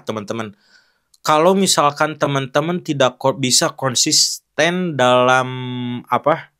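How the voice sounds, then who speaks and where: Young guy casually picking apart film acting, blunt, lots of ums and restarts, mid-pitched voice. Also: fairly bright tone. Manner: frank and critical, loose with many fillers and restarts. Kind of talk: casual spoken commentary on film clips.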